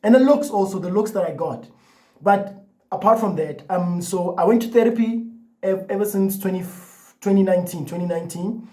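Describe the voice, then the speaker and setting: A man's voice: sits high, moderate pace, dark tone. A young man talking casually to the camera, with a stutter that brings repeated words and restarts, his speech loose and full of "like" and "you know". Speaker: vlogger.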